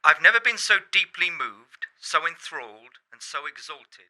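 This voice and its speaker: A man's voice: a little high, very animated, normal speed, pushed and bright, heavily acted, poor audio. A man playing a grave, effusive, pompous 1920s radio theatre critic in a radio drama. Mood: solemn admiration. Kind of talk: 1920s radio broadcast acting.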